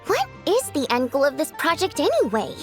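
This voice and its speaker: Very high-pitched, inquisitive Fae lady. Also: a little fast, faint music behind. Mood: curious. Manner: chatty, expressive, probing. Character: Miss Vodyanica, female Fae theater performer, socially concerned, inquisitive. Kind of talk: video game voice acting.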